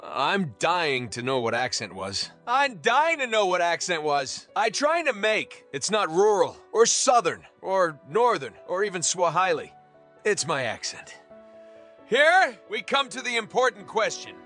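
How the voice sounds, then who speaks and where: Man, comic voice-over narrator, playful and joking, addresses the viewer directly, high voice, soft music underneath. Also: sing-song, a little slow. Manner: playful, jokey, talks straight to the viewer. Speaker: explainer video narrator.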